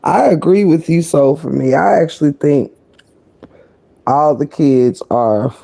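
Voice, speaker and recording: A woman with a deep, croaky voice giving sassy, blunt live-stream gossip commentary, full of cursing and kept low so she stays quiet. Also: a little slow. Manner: talking low to keep quiet.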